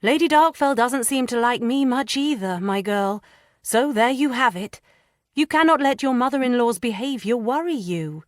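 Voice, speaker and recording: A woman's voice: a little high, moderate pace, no echo, studio quality, pitched above the performer's own voice, close to an everyday voice. A woman voicing a firm but caring young lady in an audiobook, insistent and soothing by turns. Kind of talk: audiobook character voice.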